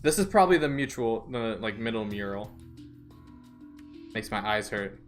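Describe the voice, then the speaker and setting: A man's voice: on the low side, expressive, moderate pace, soft background music. A young man streaming a video game, chatting casually, reading game text aloud and tripping over names. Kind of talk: casual let's-play chat.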